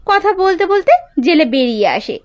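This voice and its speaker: A woman's voice: very high-pitched, sing-song, normal speed, no room sound. A woman giving scripted storytelling narration for a cartoon folk tale. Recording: music behind the voice.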